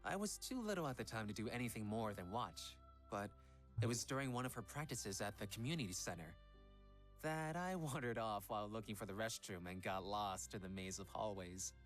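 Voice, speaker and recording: A man voicing a gentle, earnest young pop idol in a visual novel game, soft-spoken and a little hesitant. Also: lowish voice, expressive, fairly quick, faint music behind, natural voice. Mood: wistful, nostalgic. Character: gentle young male Korean pop idol, devoted fiancé.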